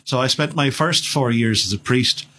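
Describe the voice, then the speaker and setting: A man's voice: medium pitch, pushed and bright, a little hiss or hum. A man in his fifties with an Irish accent, a priest speaking as a radio guest, rambling with many restarts and fillers. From Ireland. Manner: chatty, halting with many restarts.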